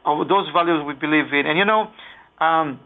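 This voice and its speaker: A man's voice: on the high side, moderate pace. Israeli man on a tinny phone line. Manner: earnest, many fillers and restarts. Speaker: radio guest, Israeli official. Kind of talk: radio interview.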